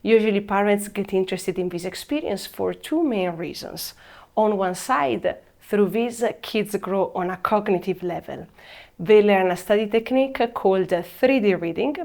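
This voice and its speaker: A woman in her thirties from Italy with an Italian accent, giving a measured presentation that slows down to stress key words. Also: medium pitch, creaky, darkish tone. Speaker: program presenter. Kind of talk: scripted presentation.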